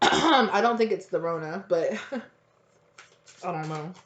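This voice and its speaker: A woman giving a casual tarot reading, hoarse and close to losing her voice, rambling in slang and acting out little quoted exchanges. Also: on the low side, slow, background noise. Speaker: tarot reader. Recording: echoey, dull lo-fi room recording.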